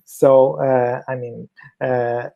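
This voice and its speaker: A man's voice: medium pitch, level tone, drawn-out words, vocal fry, muffled recording. A man with a Portuguese accent giving a webinar presentation over a video call, hesitant, with many fillers and restarts. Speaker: fertility clinic doctor, webinar speaker.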